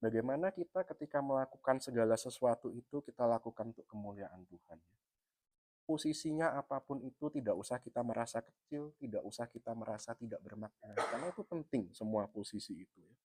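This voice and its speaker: A man's voice: mid-range voice, brisk, a little mellow, no room sound. A middle-aged man from East Java with a Javanese accent, preaching a sermon in an easy, chatty way, ending phrase after phrase with a little tag and speaking straight to the congregation. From East Java, Indonesia. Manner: conversational, tags nearly every phrase with "ya"; addresses the congregation often. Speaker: pastor.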